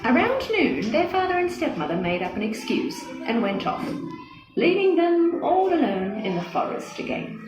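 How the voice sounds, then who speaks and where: A woman narrating a scripted children's story in an expressive, dramatic manner. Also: high voice, normal speed. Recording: echoey with music behind.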